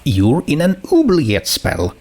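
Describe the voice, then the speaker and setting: Man voicing a pitiless teenage girl, condescending, pitched up. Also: huge pitch swings, takes it slowly, a little mellow, heavily acted. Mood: smug. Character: cool, pitiless teenage girl, a controlling royal friend. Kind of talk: dramatic fan fiction story reading.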